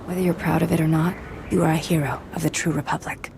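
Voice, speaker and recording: An actress playing a self-assured, shady political conspirator in a video game, businesslike and conspiratorial. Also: female voice, sits low, little pitch movement, fast talker, creaky, background noise. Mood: satisfied, assured. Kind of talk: video game character acting.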